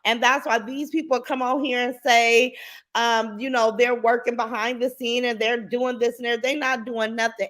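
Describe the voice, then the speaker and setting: Stuffy-nosed lady on a livestream, kinda high voice, calling everybody baby. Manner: warm sing-song greetings, calls viewers baby and sis. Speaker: livestream reaction host. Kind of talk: casual livestream chat greeting viewers.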